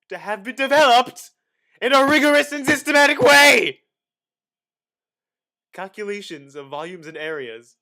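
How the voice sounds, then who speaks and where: A man giving a mock-dramatic scripted reading in a theatrical manner, with halting pauses and swings between hushed and loud delivery. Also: high-pitched. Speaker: reader.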